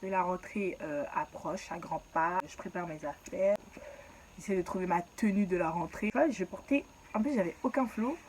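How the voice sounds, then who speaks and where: A woman's voice: fairly low pitch, moderate pace, darkish tone, slight background noise, low-quality recording. A young woman from Ivory Coast telling her own story in a casual, slangy way and laughing at herself.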